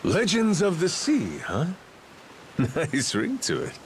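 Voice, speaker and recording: An actor playing a brash, good-humored pirate captain in a video game, with a swaggering delivery. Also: male voice, on the high side, wildly swooping pitch, slow, a little hiss or hum, exaggerated voice. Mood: amused.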